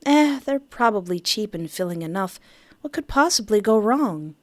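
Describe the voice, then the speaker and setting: A woman game streamer with a playful, expressive way of talking, reading narration aloud and commenting as she plays. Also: medium pitch, moderate pace.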